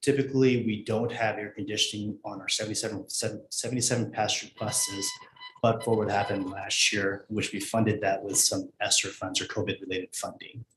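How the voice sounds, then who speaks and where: A man giving measured, slightly hesitant explanations as an administrator at a public meeting. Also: lowish voice, fairly even intonation, a bit bright. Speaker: school administrator. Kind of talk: informational answers at a board meeting. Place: indoor school board meeting room. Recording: echoey meeting room with faint noise.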